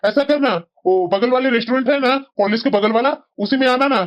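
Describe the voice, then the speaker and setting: An eager young man in a family drama, affectionate and pleading as he chats with an old friend, played by a woman. The voice sits fairly high, and the recording sounds slightly dull and lo-fi. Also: a little fast, close to an everyday voice.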